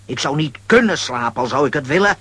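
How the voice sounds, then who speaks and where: A good-natured comic blunderer in a children's audio drama. He is very husky and blustering, with lively swoops of pitch and a muddled air. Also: quick pace, no echo. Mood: earnest. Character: bumbling, boastful, good-natured comic man.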